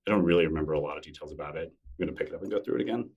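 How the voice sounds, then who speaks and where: A man's voice: rumbling low, rapid-fire, husky, recorded from a distance, lo-fi sound. A middle-aged man being interviewed as a podcast guest, talking casually and self-deprecatingly with many restarts. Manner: relaxed, rambling, self-deprecating with frequent restarts.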